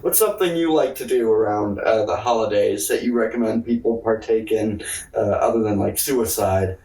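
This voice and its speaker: A man's voice: fairly low pitch, moderate pace, warm tone. Roomy-sounding man bantering on a podcast. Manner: irreverent, joking, profane. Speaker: podcast co-host. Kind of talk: casual comedic banter. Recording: roomy, echoey, distant from mic.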